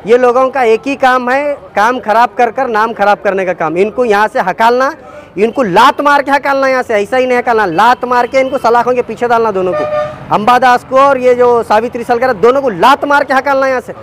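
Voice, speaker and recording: A man's voice: extremely high pitch, brisk, fairly bright tone, slight background noise. A man with a Deccani accent gives an indignant, accusatory protest statement to the press, hammering his demands repeatedly. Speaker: activist spokesman.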